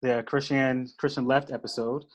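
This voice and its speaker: A man in his late thirties hosts a discussion show. His voice sits at a middle pitch, and he speaks in a conversational, moderating style. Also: flat delivery, moderate pace. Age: late 30s. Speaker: host of a generational discussion show.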